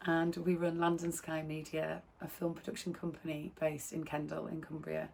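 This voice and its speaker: Croaky woman losing her voice, flat low tone, chatty film intro. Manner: friendly, halting with restarts. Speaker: filmmaker.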